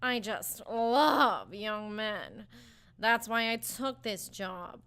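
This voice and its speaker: Slow, bright-voiced lecherous nurse played by a woman. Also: mid-range voice, clear, resonant tone, full character voice.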